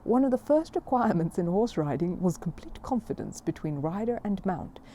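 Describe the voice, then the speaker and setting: A woman reading a children's story aloud in an expressive, amused storybook style. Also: fairly low pitch, normal speed, a little hiss or hum.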